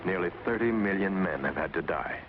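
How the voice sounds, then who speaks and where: Man, American, low voice, sweeping intonation, grave oratorical wartime narration, dull vintage soundtrack, music behind. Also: lively intonation, brisk. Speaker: documentary narrator. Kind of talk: 1940s propaganda film narration, scripted. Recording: dull old 1940s film soundtrack.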